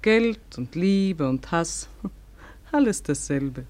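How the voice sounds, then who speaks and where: An actress playing a young, disillusioned daughter in a German radio drama, detached and cynical in manner. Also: female voice, on the low side, expressive, slow, darkish tone. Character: young daughter, disillusioned, coolly wise, lonely.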